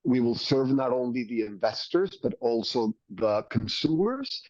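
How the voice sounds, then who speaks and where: A man's voice: mid-range voice, expressive, a little slow, darkish tone, no room sound. A man from Mexico speaks in a Mexican Spanish accent, eagerly laying out business plans. He repeats words as he builds each point. His voice comes through dull and completely dry, with no room sound. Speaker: beverage company executive, interview guest. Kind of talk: business interview, pitching plans.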